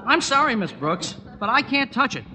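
An actor playing a naive, rule-bound young military messenger, earnest and literal, in a 1950s radio sitcom. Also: male voice, very high voice, big pitch swings, moderate pace, sharp tone, slight background noise, exaggerated voice.

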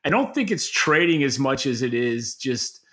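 A man's voice: medium pitch, expressive, moderate pace, a bit bright. A man co-hosting a podcast as an industry analyst, giving unscripted, opinionated explanations and often rephrasing mid-sentence. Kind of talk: unscripted expert market commentary.

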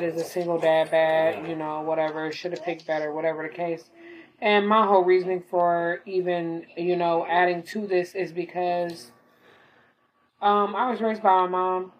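A young woman with a slightly hoarse voice after an illness, rambling casually in a late-night monologue at home, full of fillers. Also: on the low side, slight background noise, rough recording. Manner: rambling, filler-heavy, talks while gaming. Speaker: podcaster.

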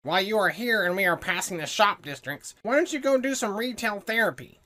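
A woman's voice: mid-range voice, lively intonation, fairly quick, sharp tone. A woman voicing a meek, polite extraterrestrial with a salesy streak in a sci-fi comedy radio drama. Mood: friendly, salesy. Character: meek, polite extraterrestrial shop-savvy hustler.

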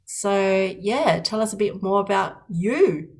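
A woman's voice: mid-range voice, lively intonation, slow pace, a little mellow. A woman hosting an interview podcast as a counsellor, talking in an effusive, rambling way full of restarts. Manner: effusive, many restarts and fillers. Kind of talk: casual interview.

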